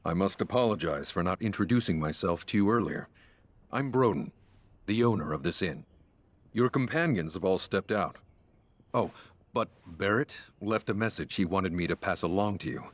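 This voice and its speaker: A formal, obliging innkeeper from a video game. His voice sits low and is recorded dry, with polite, deferential phrasing meant to serve a guest. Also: moderate pace, dry recording. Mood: polite, helpful. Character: courteous, formal male innkeeper, measured and helpful. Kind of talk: scripted video game dialogue.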